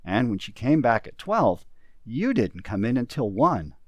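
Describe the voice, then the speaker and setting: A man playing a brisk, practical, insistent business associate in an old-time radio drama. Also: medium pitch, very animated, no echo, muffled recording. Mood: brisk, concerned. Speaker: amateur community theater actor.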